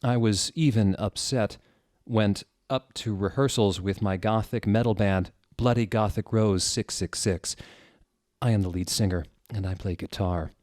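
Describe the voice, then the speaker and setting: A man's voice: lowish voice, a little mellow, dry recording, studio quality. A man hosting a dramatic comedic reading, theatrical and wry, with casual asides. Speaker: host reading fan fiction aloud.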